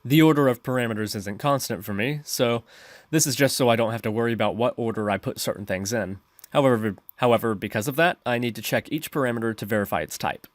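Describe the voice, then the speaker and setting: A man's voice: mid-range voice, fast talker, no room sound, studio quality. A man narrating a step-by-step screencast tutorial, explaining his reasoning as he goes. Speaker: programming tutorial host.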